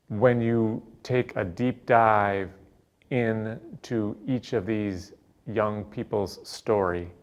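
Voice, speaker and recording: A man with a low, creaky voice speaks very slowly and deliberately, as in an interview. The recording sounds slightly dull and muffled. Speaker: youth nonprofit worker. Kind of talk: measured interview explanation.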